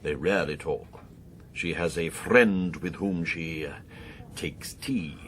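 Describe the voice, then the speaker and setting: An arch English secret agent in a radio drama. The voice is extremely low, with fastidious, precise phrasing that insinuates scandal as gossip. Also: male voice, fairly bright tone, slight background noise. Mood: sly, insinuating.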